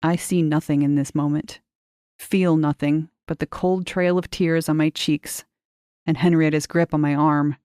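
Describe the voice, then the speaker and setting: A woman reading a short story aloud in measured, expressive literary narration. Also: sits low, no echo, clean studio sound.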